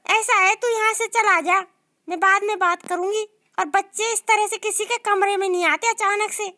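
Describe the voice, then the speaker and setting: A woman's voice: very high-pitched, steady tone, pushed and bright, pitched above the performer's own voice. A woman voicing a greedy, quarrelsome new bride who nags and complains in a comic sketch. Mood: nagging. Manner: nagging, dramatic, quick to complain.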